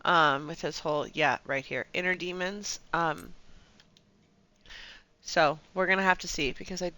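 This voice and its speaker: A woman's voice: fairly low pitch, steady tone, unhurried, pushed and bright. A woman live-streaming a video game with casual, chatty commentary to herself.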